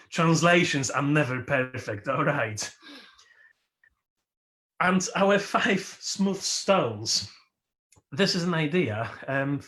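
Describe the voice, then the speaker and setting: A man whose first language is Polish, leading a worship service as its host and speaking slowly and reflectively, with emphatic rises, over a video call. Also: on the high side, a bit bright. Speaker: worship leader. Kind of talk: sermon.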